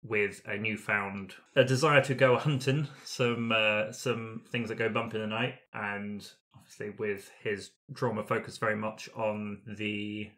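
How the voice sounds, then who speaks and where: Bright-voiced man in casual podcast chat. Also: on the low side, steady tone, a little slow, hardly any pauses. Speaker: role-playing podcast player.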